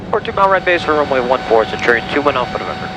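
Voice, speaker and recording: American man over loud plane engine noise. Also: mid-range voice, big pitch swings, very fast. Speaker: pilot and vlogger. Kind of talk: aviation radio calls and casual vlog talk. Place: small airplane cockpit. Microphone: aviation headset via audio cable.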